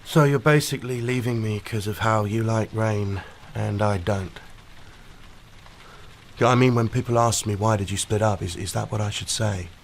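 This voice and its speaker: A man's voice: fairly low pitch, normal speed, creaky. An actor playing a hurt young man being left by his fiancée, clipped and sarcastic in a radio drama. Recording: faint rain noise behind. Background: rain.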